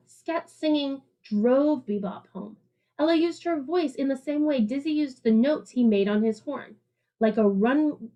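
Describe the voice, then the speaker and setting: A woman's voice: sits high, darkish tone, poor audio. A woman voicing a streetwise, jazz-loving alley cat who narrates a picture book in rhythmic, playful slang. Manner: slangy, jive-talking, rhythmic, playful emphasis.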